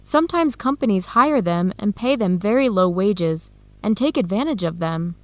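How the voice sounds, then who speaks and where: An actress playing a troubled, earnest young American scholar in a radio drama for English learners. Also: female voice, medium pitch, expressive, moderate pace, darkish tone, dry recording, lightly acted. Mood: alarmed, disapproving. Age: young adult.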